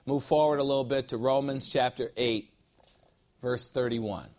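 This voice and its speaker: A male pastor delivering an expository sermon, leaning hard on important words and drawing them out slowly. His voice rises as he builds toward each point. He also jokes lightly with the congregation. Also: mid-range voice, steady tone, a little mellow. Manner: emphatic, stretches key words slowly for weight. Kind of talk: expository sermon teaching from scripture.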